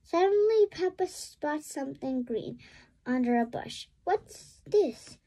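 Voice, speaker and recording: A woman hosts a read-aloud for young children. Her voice is high and childlike in pitch, and she takes her time. She reads expressively, keeping the delivery simple and animated. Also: unhurried. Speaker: storybook read-aloud host. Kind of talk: animated children's story reading.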